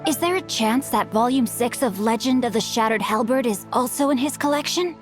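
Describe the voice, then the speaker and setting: A woman's voice: on the high side, fairly quick, close to an everyday voice. A woman voicing a polite, bookish teenage boy in a video game, with flowery, formal manners and music behind. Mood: courteous, eager. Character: polite, bookish teenage boy, refined young martial-arts enthusiast.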